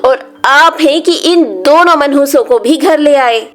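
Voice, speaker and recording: Woman acting a cruel, scolding aunt, high-pitched. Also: takes it slowly. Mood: outraged. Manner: sharp, scolding, contemptuous. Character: cruel, scornful aunt bullying two orphan girls. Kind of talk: children's animated story dialogue. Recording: music under the voice.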